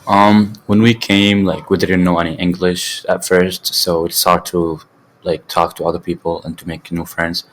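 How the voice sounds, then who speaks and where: A teenage boy from Syria whose first language is Arabic, answering interview questions in halting English with many fillers and restarts. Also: deep voice, flat delivery. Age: teens. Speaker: student guest.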